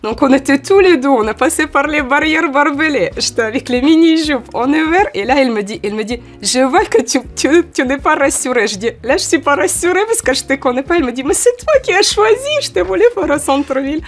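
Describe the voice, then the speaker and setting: Femme à l'ukrainien, hésitante. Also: female voice, high-pitched, fairly quick, hardly any pauses, soft background music. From Ukraine. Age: 40s. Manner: hesitant, many "euh" fillers, lively when acting out quotes. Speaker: model, interview guest. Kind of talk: casual interview answers.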